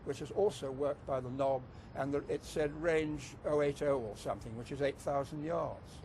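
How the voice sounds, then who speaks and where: An elderly man giving a halting, conversational, unscripted explanation as a veteran guide. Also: medium pitch, vocal fry, a little mellow, slight background noise. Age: over 70.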